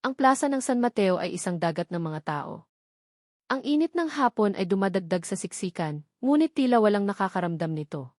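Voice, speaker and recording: A woman's voice: medium pitch, expressive, brisk, darkish tone, no echo. Filipino woman narrating dramatically, dry studio sound. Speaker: story narrator. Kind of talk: dramatic scripted story narration.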